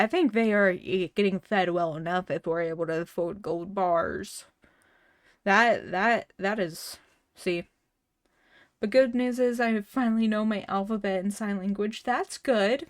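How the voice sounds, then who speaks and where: A woman's voice: medium pitch, no room sound, studio quality. A woman streamer in casual chat with her viewers, stuttering often and restarting words, laughing now and then. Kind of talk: casual livestream chat, reading chat messages and a story aloud.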